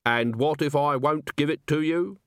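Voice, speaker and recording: A man's voice: fairly high pitch, normal speed, a bit bright, clean tone, dry recording, clean studio sound, pitched above the performer's own voice. A man voicing a snooty, irritable male publisher, brusque and dismissive, as an audiobook character. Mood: irritated, snappish.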